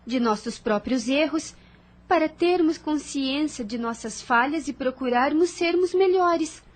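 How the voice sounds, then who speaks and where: Woman doing a nervous devout sister, high voice, keeps asking worried questions, audio drama. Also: expressive, measured pace. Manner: anxious, questioning.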